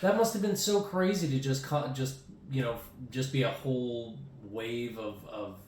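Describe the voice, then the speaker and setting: A man's voice: mid-range voice, moderate pace, a little mellow, a little hiss or hum. Man hosting a roomy, distant-sounding casual podcast. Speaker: podcast host. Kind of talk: casual comedy interview chat. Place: small room at home, indoors. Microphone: podcast microphone on table arm. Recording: roomy echo, distant from mic.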